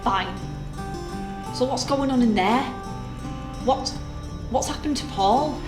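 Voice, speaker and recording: Woman acting a high-pitched, feisty young woman. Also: slow pace. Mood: astonished. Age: young adult. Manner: blunt, questioning, incredulous. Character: bewildered, sceptical, feisty young office worker woman. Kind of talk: audio drama acting. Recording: echoey with music underneath.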